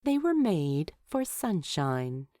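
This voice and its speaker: A woman's voice: low voice, big pitch swings, slow pace, warm tone, dry recording, studio quality. A woman narrating a scripted story as an audiobook-style reader.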